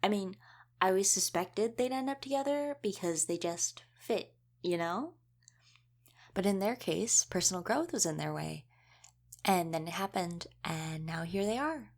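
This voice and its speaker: A woman's voice: medium pitch, lively intonation, a little slow, a little mellow. A young woman playing a friendly, chatty werewolf college student in a casual audio roleplay, rambling through explanations straight to the listener. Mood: chatty, fond.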